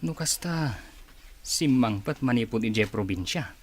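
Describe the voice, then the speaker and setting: Guy playing probing gossipy coworker in audio drama, raspy medium voice. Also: mid-range voice, lively intonation, measured pace, dry recording. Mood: teasing, probing. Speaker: voice actor. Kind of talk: radio-style drama acting.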